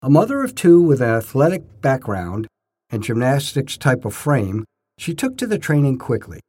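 An older man narrating his own scripted first-person stories in short, measured phrases with a storyteller's emphasis. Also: mid-range voice, sing-song, darkish tone, husky.

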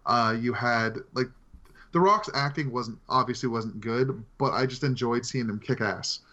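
A man's voice: medium pitch, normal speed, sharp tone. A man co-hosting a casual film discussion among friends, talking in an informal, conversational way. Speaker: podcast co-host. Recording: slightly dull lo-fi mic.

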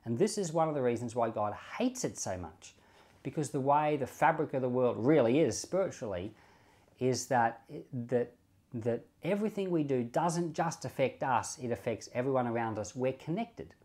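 Male Bible podcast teacher, medium pitch, informal and explanatory, reasons aloud and repeats key points with emphasis. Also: expressive, moderate pace, a little mellow. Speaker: pastor and Bible podcast host.

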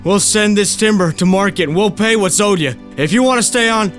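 A man's voice: high-pitched, brisk, a bit bright, soft background music. An actor playing a hard-bitten 1890s frontier logger in a film drama, a commanding but fair family man who talks plainly and firmly. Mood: firm, resolute.

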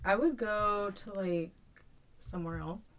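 A woman turning thirty, a guest on a casual podcast, giving short, low-key answers. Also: measured pace, a bit bright. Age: 20s. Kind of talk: casual chat.

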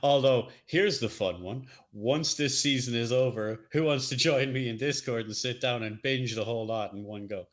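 A man's voice: medium pitch, normal speed, hardly any pauses. A man co-hosting a casual podcast, talking in a chatty, jokey way. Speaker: co-host, streamer.

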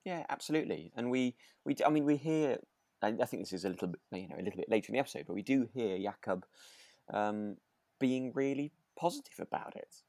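A man's voice: mid-range voice, lively intonation, fast, darkish tone, no room sound. A man co-hosting a podcast in casual chat, rambling and often correcting himself as he goes. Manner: hesitant, rambling, self-correcting. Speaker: podcast co-host. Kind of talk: casual chat reviewing a radio drama.

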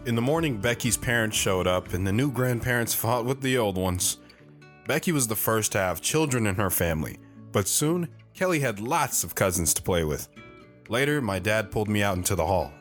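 A man's voice: lowish voice, animated, normal speed, soft background music, lightly acted. A man playing a devoted, reflective wandering father who tells his own story in an audio drama, earnest and sentimental. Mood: reflective, tender. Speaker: narrator.

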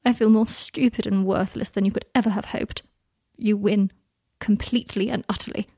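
A woman's voice: mid-range voice, fairly even intonation, moderate pace, mellow, slightly rough, dry recording. A woman voicing a heartbroken, proud young man who is hurt and bitter, his voice rising and close to tears. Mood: defeated.

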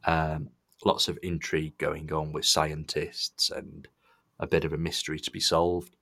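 A man with a very low voice hosts a podcast in a casual, conversational way. He sounds enthusiastic as he shares his opinions. The sound is dry, with very little room in it. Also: completely flat delivery, a little slow, pushed and bright, dry recording. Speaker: podcast host. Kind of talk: casual review chat.

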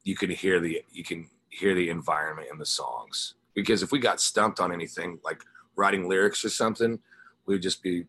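A man with a husky voice, a musician guest chatting casually in a laid-back, rambling interview style. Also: deep voice, moderate pace, a bit bright, slight background noise, poor audio. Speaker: musician guest, guitarist and singer in a rock band.

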